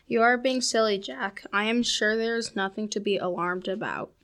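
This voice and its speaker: A woman playing a sensible, polite young girl who reasons calmly with her brother. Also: medium pitch, moderate pace, lightly acted. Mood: dismissive.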